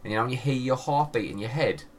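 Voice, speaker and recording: A man's voice: medium pitch, fast talker, slight background noise. A man co-hosting a podcast, chatting casually in a rambling, jokey way and swearing freely. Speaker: podcast co-host. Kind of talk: casual banter.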